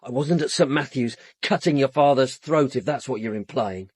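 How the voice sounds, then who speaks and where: An actor playing a domineering, secretive young British radical in a radio drama, curt, contemptuous and emphatic. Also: male voice, medium pitch, moderate pace, breathy edge, dry recording, clean studio sound, lightly acted. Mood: menacing, defensive.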